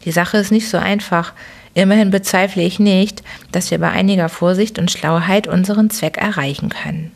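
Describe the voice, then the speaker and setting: A woman voicing a calm, incisive detective who reasons in a measured, dryly ironic way in an audiobook. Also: lowish voice, vocal fry. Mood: deliberate. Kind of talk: audiobook character reading.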